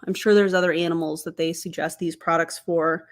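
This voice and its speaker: A young adult woman hosting a casual livestream, a little congested as she gets over being sick, chatty and quick to laugh. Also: fairly low pitch, fairly even intonation. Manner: chatty, laughs easily, talks to chat. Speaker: streamer and commentator.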